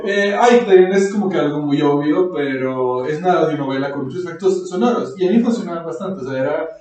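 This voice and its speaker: A Mexican man talks from far off in a very echoey room, his voice on the high side. He rambles casually in slang, like a podcast co-host among friends. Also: quick pace, darkish tone. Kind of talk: casual chat reviewing fiction. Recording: very echoey room, distant mic.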